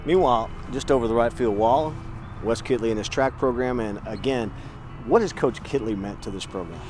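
Young guy hosting sports chat, fast talker, lowish voice, music going underneath. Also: a little mellow. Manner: upbeat, brisk questioning. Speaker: host. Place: outdoors at a baseball field.

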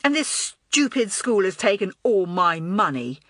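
Spoiled young film-star head girl, woman reader: imperious, indignant, animated delivery for a children's audiobook. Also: medium pitch, a little slow, dry recording. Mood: blazing, defensive.

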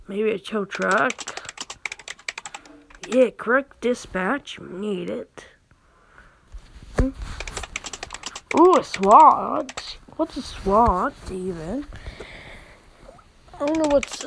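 A woman's voice: on the high side, gravelly. A woman giving casual gameplay commentary, thinking aloud with exclamations and reading game text out. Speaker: gaming video host.

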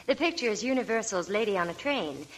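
A woman reads scripted radio ad copy in 1940s style as a Hollywood reporter. Her mid-pitched voice moves in lively swoops. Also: fast talker, low-quality recording. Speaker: Hollywood reporter in a soap advertisement.

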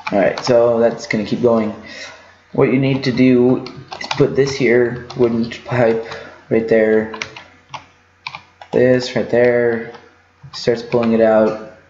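Male gaming tutorial host, slow and halting, thinking aloud in a roomy, echoey space. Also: medium pitch, level tone, a little mellow, slight background noise. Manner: halting, rambling, thinks aloud while working. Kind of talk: casual unscripted game walkthrough commentary. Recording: muffled lo-fi mic in echoey room.

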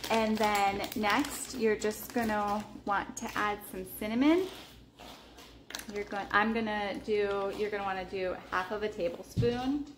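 A woman casually talking through her meal prep in a home kitchen, relaxed and chatty with lots of ums. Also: mid-range voice, measured pace, a little hiss or hum. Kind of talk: casual cooking vlog narration. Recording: echoey kitchen with clattering dishes. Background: clinking cutlery, dishes and pans.

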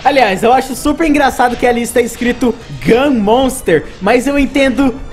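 A man's voice: extremely high pitch, normal speed, faint music behind. A young man hosting a video game review, reading scripted gameplay narration.

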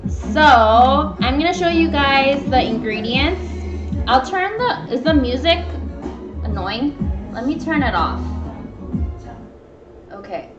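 Young female live-stream cooking host, high pitch, casual meandering chat, dull room sound with music behind. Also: expressive, takes it slowly, long pauses. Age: young adult. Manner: informal, meandering, greeting viewers. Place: small home room, indoors at a table. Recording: echoey, dull webcam stream, background music.

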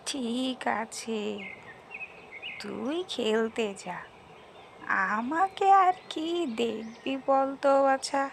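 A woman voicing a frail, wistful old village granny in a cartoon fairy tale, rambling gently. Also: on the high side, extremely slow, creaky, long pauses, a little hiss or hum, exaggerated voice. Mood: gentle, resigned.

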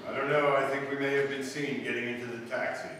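An actor playing a calm, grave alien visitor in an old-time radio drama on stage, earnest and deliberate. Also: male voice, mid-range voice, lightly acted. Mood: worried, grave. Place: stage in a hall with audience. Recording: echoey hall from far off, faint hiss.

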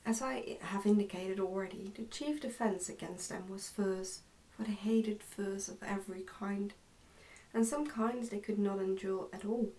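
A young woman reads a storybook aloud in a soft, hushed, careful ASMR style. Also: mid-range voice, measured pace, far from the mic, rough recording. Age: young adult. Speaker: ASMR narrator reading a book aloud. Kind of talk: ASMR storybook reading, soft-spoken and whispery. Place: indoors, small plain room.

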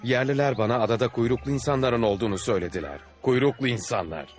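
Guy voicing earnest adventurer in a cartoon, declaiming his tall travel tales, music playing loud behind. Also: mid-range voice, quick pace, natural voice. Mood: earnest wonder. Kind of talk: dubbed cartoon storytelling.

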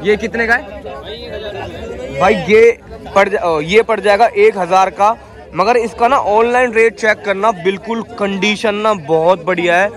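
A young man vlogging from a street market on his phone, chatty and excited, then talking at home. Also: high-pitched, measured pace, some chatter behind. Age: young adult. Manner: excited, chatty, keeps saying "bhai". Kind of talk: casual market vlog. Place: crowded outdoor street market, later a bedroom. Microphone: phone held at arm's length.

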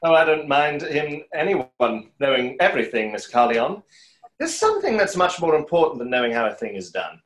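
A man's voice: on the high side, very animated, normal speed, fairly bright tone. A man in his thirties playing a wistful, self-doubting stage conjurer in a play read over a video call, hesitant and reflective. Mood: calm, wry. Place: small home room, on a video call. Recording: roomy echo of a video call.

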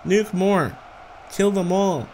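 A man's voice: high voice, slow pace, darkish tone, a little hiss or hum. A man in his thirties giving casual, playful gameplay commentary as a gaming video host, talking to the game and chuckling often.

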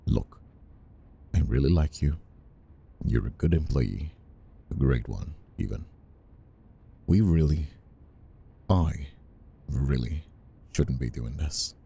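A man playing a flirtatious, dominant boss in an intimate ASMR roleplay, murmuring slowly and breathily with a deep, gravelly voice. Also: lively intonation, mellow, full character voice. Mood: teasing, reluctant. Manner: slow, breathy murmuring with sighs. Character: flirtatious, conflicted male boss, dominant and teasing. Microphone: close ASMR microphone.

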